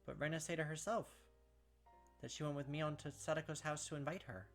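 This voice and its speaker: A man's voice: fairly high pitch, fairly even intonation, fairly quick, fairly bright tone, soft background music. A young man hosting a gaming video, reading story text aloud with casual, filler-heavy asides.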